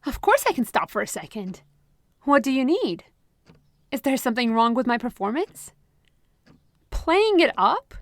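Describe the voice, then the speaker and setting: A woman voicing a manipulative, possessive female therapist who talks in a honeyed, calmly controlling way, in an ASMR roleplay recorded close to the mic. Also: high-pitched, unhurried, long pauses, no room sound, exaggerated voice. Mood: smooth, controlling. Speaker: voice actress.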